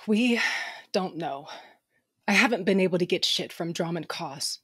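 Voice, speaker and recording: A tough, plain-spoken female space fighter acted by a woman in a sci-fi audio drama. Her voice is low, husky and forceful, recorded dry over music. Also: animated, a little slow, no echo, heavily acted. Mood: blunt, tense. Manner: blunt, tough. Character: blunt, hard-swearing female space fighter, loyal comrade.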